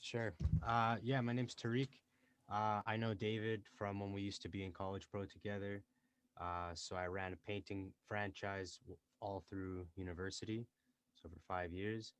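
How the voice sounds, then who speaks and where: A young man with a very deep, low voice talks in an easygoing, chatty way, full of fillers and little quoted asides. The sound is close and dry, with almost no room echo. He is recording from a small closet he uses as a home studio. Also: moderate pace, clean tone. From born in Dubai, raised in Canada. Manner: relaxed, chatty, many fillers and self-quoting. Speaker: guest, entrepreneur and rapper. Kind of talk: casual interview chat.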